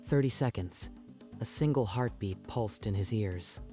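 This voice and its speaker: A man's voice: fairly low pitch, a little slow, over music. A man narrating a dramatic story from a script in a storytelling style.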